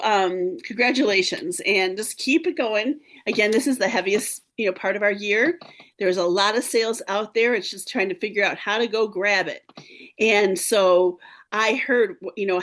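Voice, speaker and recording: Brief: woman, slightly froggy team leader on a video call, upbeat, cheering her team on. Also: medium pitch. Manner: upbeat, encouraging, clears throat often. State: a little hoarse. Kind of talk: casual team meeting talk.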